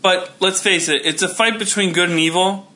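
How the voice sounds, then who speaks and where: Man, thirties, podcast co-host, forceful bright tone, rambling delivery. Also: high-pitched, fairly even intonation, moderate pace. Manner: rambling, many fillers and restarts. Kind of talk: casual chat.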